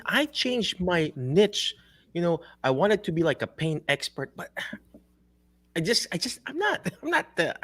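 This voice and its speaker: Man, Filipino accent, interview host, animated pitch, laughing often, video call. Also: no room sound.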